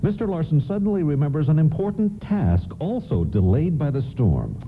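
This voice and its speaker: A man's voice: a little high, big pitch swings, moderate pace, slight background noise. A man narrating a scripted radio play as storyteller. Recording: narrow, band-limited radio broadcast.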